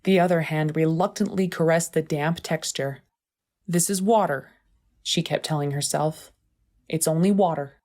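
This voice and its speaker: A woman reading scripted fiction as a measured, dramatic audiobook narrator. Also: low-pitched, darkish tone.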